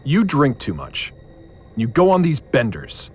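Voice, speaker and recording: A man's voice: mid-range voice, wildly swooping pitch, a little slow, darkish tone, husky, exaggerated voice. A man playing a disoriented, troubled occult investigator in an audio drama, speaking in a blunt, exasperated manner. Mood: accusing.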